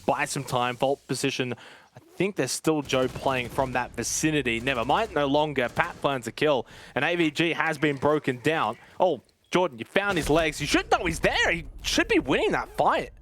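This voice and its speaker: A man casting a live esports match, breaking down plays and getting louder on big moments. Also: fairly high pitch, moderate pace, slight background noise. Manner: analytical, rising excitement on big plays. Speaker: esports caster.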